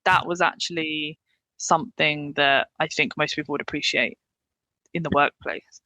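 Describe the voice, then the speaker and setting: A young English woman, a paramedic, talking candidly in an interview, chatty and quick to laugh with lots of fillers. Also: deep voice, fairly even intonation, pushed and bright, no room sound, poor audio. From England. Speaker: paramedic guest.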